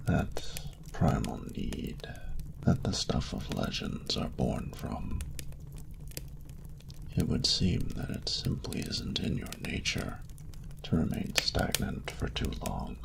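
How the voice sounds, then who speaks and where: Ancient dragon lord for romance audio: extremely low, gravelly, breathy, courtly and seductive, noisy background. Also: male voice, full character voice. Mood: tender, regretful. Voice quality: very deep, breathy, gravelly murmur. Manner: slow, formal, archaic, tender phrasing. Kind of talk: intimate romantic audio roleplay, ASMR.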